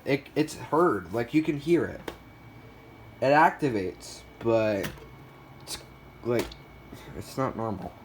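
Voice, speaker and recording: Guy doing a casual show and tell, kinda self-deprecating, bit of background noise. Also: mid-range voice. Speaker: collector showing a weather radio collection.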